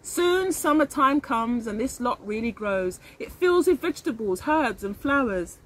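A woman's voice: on the high side, lively intonation, a little slow, a bit bright, rough recording. A middle-aged woman reading aloud expressively as a teacher of young children, speaking to them directly. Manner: addresses children directly, asks them questions. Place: outdoors in a leafy garden.

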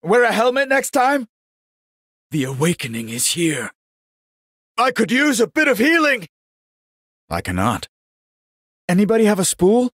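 A man voicing a genial, vain old warrior monk in a video game, speaking in a measured, courtly and wryly pious manner. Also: high voice, wildly swooping pitch, fairly bright tone, no echo, clean studio sound, full character voice. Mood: urgent. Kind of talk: scripted game character voice lines.

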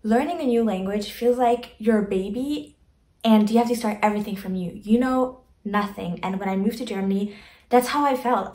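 A young woman giving earnest, chatty advice straight to the camera from her bedroom, speaking with emphatic, reassuring warmth. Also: on the high side, reverberant. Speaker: vlogger. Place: bedroom, indoors. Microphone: camera in front, medium framing.

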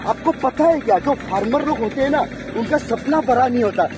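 Excited young man vlogging from rice fields. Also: very high-pitched, a little fast, noisy background. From Assam, India. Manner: excited, chatty, addresses viewers directly. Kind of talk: casual vlog talk to camera. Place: outdoors in rice paddy fields. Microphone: phone camera held at arm's length.

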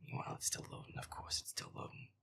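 A man muttering sarcastic, sweary gameplay commentary in a breathy, casual way, close to the mic. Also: fast talker, bright, forceful tone, in a whisper. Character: feisty werewolf boyfriend playing a scary game. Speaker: gamer playing a horror game.

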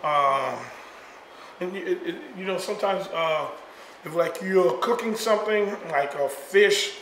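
A middle-aged man co-hosting a home cooking demonstration, talking in a relaxed, playful way with many fillers and repeated words. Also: high-pitched, a little slow, slight background noise. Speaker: cooking show co-host. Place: home kitchen, indoors. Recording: echoey kitchen, faint ambience.

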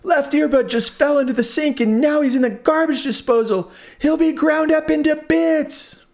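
Man as a shrill, frantic little earbud. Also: normal speed, vocal fry. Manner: shrieking, panicky, excitable. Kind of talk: children's storybook character voice.

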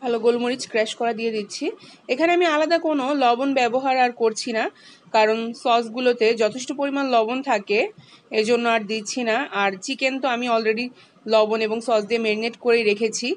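A woman, calmly walking through a home cooking recipe step by step as the channel's host. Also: fairly high pitch, brisk, a little hiss or hum. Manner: calm, instructive, explains each step.

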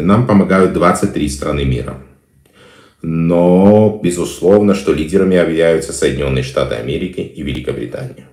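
A man's voice: extremely low pitch, a little mellow, roomy sound. A middle-aged man answering viewer questions on a live stream, with hesitant fillers and emphatic repetition. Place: small indoor room. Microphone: phone camera close-up.

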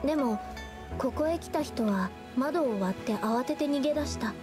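Anime game role: a shy, courteous young female artist, high girlish tone, gentle careful delivery, music underneath. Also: lively intonation. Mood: thoughtful, explaining. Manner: polite, measured, hesitant. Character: polite, timid young woman artist playing detective. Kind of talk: Japanese anime game voice acting. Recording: music behind the voice.

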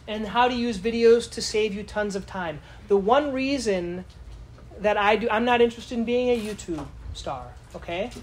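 A woman's voice: medium pitch, moderate pace, slight background noise. A woman giving a live lecture to a conference audience in a casual, chatty way, often asking the room questions. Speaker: conference speaker.

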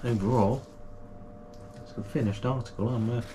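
A man's voice: medium pitch, steady tone, moderate pace, noisy background. A man, casually unboxing and reviewing gear for his channel in a small home room, chatty and full of fillers and restarts. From United Kingdom. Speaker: kayaking channel host.

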